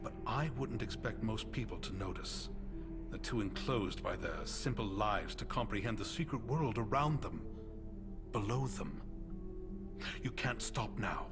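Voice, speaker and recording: An actor playing an eccentric preacher and eager amateur conspiracy buff in a video game, with florid, sermonizing phrasing and halting hesitations. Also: male voice, lowish voice, lively intonation. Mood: intense, urging. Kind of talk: video game cutscene monologue. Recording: music under the voice. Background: background music.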